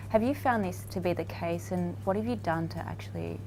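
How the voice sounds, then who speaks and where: A woman interviewer asking measured, formal questions. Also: fairly low pitch, a little mellow, soft background music, low-quality recording.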